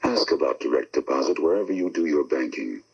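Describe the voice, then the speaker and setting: Extremely deep, gravelly announcer. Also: male voice, fairly quick. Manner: steady, authoritative read. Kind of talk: public service announcement. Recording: lo-fi 1970s radio broadcast.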